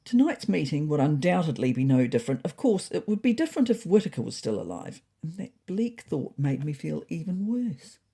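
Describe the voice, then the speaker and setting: An earnest, worrying house cat played by a woman confides in a diary. The voice is mellow and slightly low, measured and wistful, with lots of rise and fall. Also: sing-song, husky, exaggerated voice. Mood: weary, gloomy. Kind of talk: first-person diary narration.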